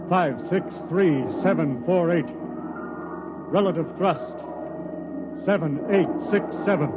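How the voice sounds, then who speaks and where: An actor playing a blinded starship crewman, pained yet visionary, in slow, deliberate 1950s radio drama style. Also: fairly high pitch. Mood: commanding focus. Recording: noisy, muffled vintage radio recording.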